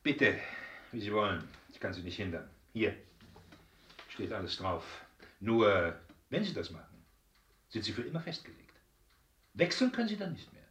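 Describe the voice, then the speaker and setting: Bright, brusque agency gatekeeper in radio drama. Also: male voice, lowish voice, big pitch swings, normal speed, pauses often, recorded from a distance, a little hiss or hum. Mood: resigned warning. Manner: officious, patronizing, emphatic. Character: brusque, condescending casting agency gatekeeper, male. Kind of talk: German radio drama acting. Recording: roomy echo, off the mic.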